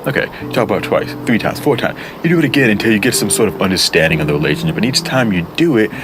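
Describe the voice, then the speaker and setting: Very deep-voiced man, lively book-review chatter. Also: rapid-fire, background noise. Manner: rambling, with many fillers and restarts. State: voice worn low from much talking. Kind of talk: casual book review to camera. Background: guitar music.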